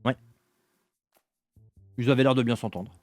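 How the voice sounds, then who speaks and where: A man's voice: medium pitch, fairly even intonation, measured pace, no echo, soft background music, close to an everyday voice. A man voicing a chatty, nosy middle-aged barkeeper who talks bluntly and jokes around. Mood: gossipy. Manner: blunt, jokey, rambling.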